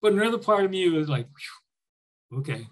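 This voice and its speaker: Older man with a higher-pitched voice, reminiscing. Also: lively intonation, moderate pace. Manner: informal storytelling, acting out remembered dialogue. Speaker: military veteran, former Army air traffic controller, interviewee. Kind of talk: conversational war reminiscence.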